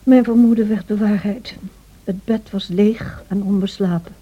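A woman acts out a devoted housekeeper narrating in a radio play. Her voice is very soft-edged and dark, with a measured, confiding manner. The recording is dull and dated. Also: medium pitch, steady tone, breathy edge, natural voice. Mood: sombre storytelling. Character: devoted, troubled housekeeper recounting a tragic story.

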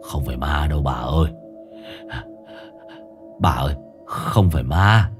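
A man voicing a gruff old husband, grumpy and mumbling. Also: very deep voice, expressive, measured pace, music in the background, pitched below the performer's own voice. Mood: frightened. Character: gruff, sleepy old husband, easily spooked.

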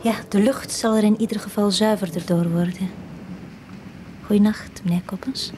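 An actress playing a haunted, uneasy widow in a radio drama, husky, deliberate and defensive. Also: female voice, medium pitch, fairly even intonation, background noise, lightly acted. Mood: tired, cool. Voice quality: husky, breathy.

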